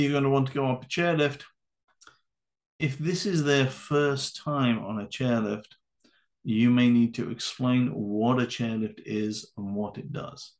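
A man narrating a slide-based training lecture for volunteer ski guides in a measured, instructive way. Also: mid-range voice, creaky. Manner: measured, instructive, with occasional fillers. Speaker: adaptive ski course presenter. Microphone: voice-over.

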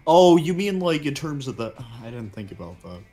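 A man voicing a calm, polite ninja chameleon detective, chatting in character in a measured, thoughtful way over a game. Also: on the high side. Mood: considering. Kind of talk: casual gaming chat in character. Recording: music behind the voice.

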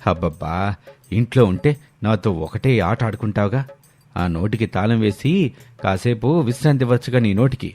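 A man's voice: lowish voice, animated, moderate pace, a little mellow. A man voicing a grumbling, exasperated older Telugu husband and father. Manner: grumbling, weary.

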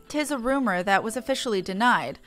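A woman voicing an earnest small male game character with formal, archaic, measured phrasing. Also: on the high side, fairly bright tone, soft background music, natural voice. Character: earnest, formal small grandson of an airship founder.

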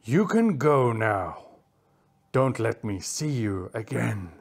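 A woman voicing a stern, commanding male cheetah with a calm, menacing manner in a children's story. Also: mid-range voice, unhurried, pitched below the performer's own voice, natural voice. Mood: calm menace. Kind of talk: children's animal story character voice.

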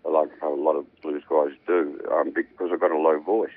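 An older man, a musician, chatting in a rambling, relaxed way as a radio guest. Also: very deep voice, normal speed, dry recording, phone-quality sound. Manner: rambling, hums riffs aloud.

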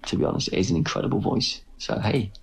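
A man's voice: fairly low pitch, quick pace, gravelly, husky. A middle-aged English man chatting boastfully on a phone live stream, praising his own voice. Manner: boastful, self-flattering. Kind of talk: casual live stream chat.

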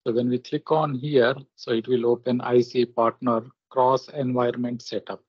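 A man presenting a software tutorial step by step, in halting, short instructional phrases, on muffled screen-recording audio. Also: mid-range voice, flat delivery, breathy edge, no echo. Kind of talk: step-by-step software demo narration.

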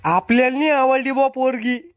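Man voicing a grumpy high-pitched old cartoon villager. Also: moderate pace, highs cut off, loud music behind the voice. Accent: rural Maharashtra Marathi. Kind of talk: comic cartoon skit dialogue.

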